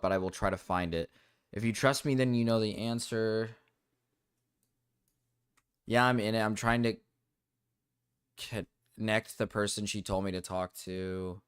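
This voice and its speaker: A man's voice: lowish voice, clear, resonant tone. Irritated young man ranting to chat. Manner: rambling, frequent restarts and fillers, irritated. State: voice going low and cracking. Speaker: streamer. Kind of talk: casual livestream rant to chat.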